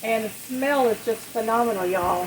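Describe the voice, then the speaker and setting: A woman chatting casually and in a folksy, unhurried way as she demonstrates home cooking. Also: on the high side. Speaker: home cook hosting a recipe video. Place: home kitchen at the stove. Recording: clear background kitchen noise, frying sizzle. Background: sizzling skillet, stirring.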